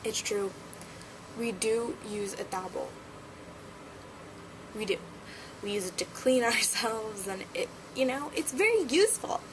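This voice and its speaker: Giggly young woman vlogging, slightly high. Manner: chatty, giggly, playful. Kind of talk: casual vlog chat. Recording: noticeable background noise.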